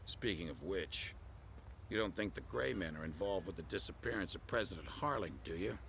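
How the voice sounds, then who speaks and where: A man acting a middle-aged veteran military pilot in a video game, reflective and deliberate, with a gravelly voice. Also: deep voice, expressive, fairly bright tone, a little hiss or hum, full character voice. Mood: reflective, somber. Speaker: veteran air force colonel.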